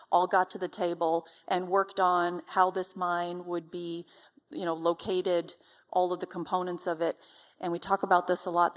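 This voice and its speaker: A woman explaining things as a company representative in a panel discussion. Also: fairly low pitch.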